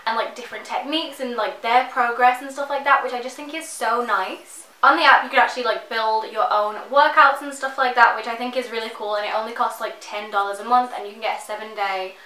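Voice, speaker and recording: A woman's voice: fairly high pitch, steady tone, a little fast, hardly any pauses, pushed and bright, echoey room. A young woman in her twenties chatting to camera in her bedroom, rambling enthusiastically and saying "like" a lot. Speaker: fitness vlogger. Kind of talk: casual chatty sponsored vlog. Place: bedroom, indoors.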